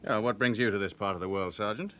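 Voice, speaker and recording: An actor playing a suave, guarded British banker in a radio drama, cool, formal and suspicious. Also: male voice, on the low side, fast talker, a bit bright, slight background noise, natural voice. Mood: coolly polite.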